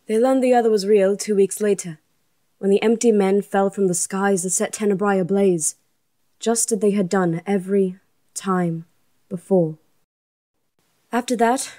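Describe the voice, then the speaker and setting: A woman's voice: medium pitch, warm tone, dry recording, studio quality, close to an everyday voice. A woman playing an earnest, troubled young princess in an audio drama. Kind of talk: audio drama acting and narration.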